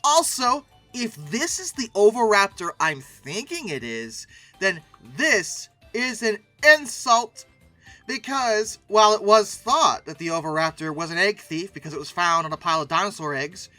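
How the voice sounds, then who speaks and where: A host giving casual, comedic reaction commentary with theatrical, exaggerated emphasis and drawn-out words. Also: mid-range voice, pushed and bright, dry recording. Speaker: video host reacting to old paleoart.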